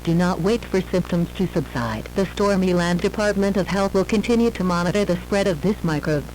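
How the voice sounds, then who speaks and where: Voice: medium pitch, darkish tone. Noisy emergency alert announcer, formal and scripted. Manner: formal, measured read-out.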